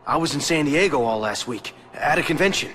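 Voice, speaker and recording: A man's voice: on the high side, expressive, a little fast, a bit bright, a little hiss or hum. A man voicing a scared, stammering ordinary man pleading innocence in a video game. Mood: anxious explaining. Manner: stammering, whining. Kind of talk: video game dramatic acting.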